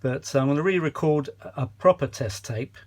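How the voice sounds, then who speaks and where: A man's voice: on the high side, a little fast, a little mellow. A man presenting a casual restoration vlog in a chatty, self-deprecating way, often losing his thread and searching for words. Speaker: vintage audio restorer presenting his own video. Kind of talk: rambling casual demonstration vlog. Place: small cluttered kitchen used as a workshop.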